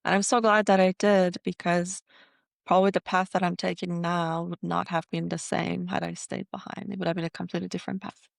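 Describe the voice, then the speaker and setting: Gravelly, flat-toned woman from Iran. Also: lowish voice, dry recording, clean studio sound. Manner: relaxed, many "um" pauses. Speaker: implant dentist guest. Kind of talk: casual interview talk.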